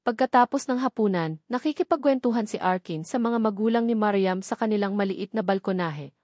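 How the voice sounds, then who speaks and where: A woman's voice: mid-range voice, a little mellow, dry recording. Woman narrating, close dry sound. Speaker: story narrator. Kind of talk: scripted romance story narration.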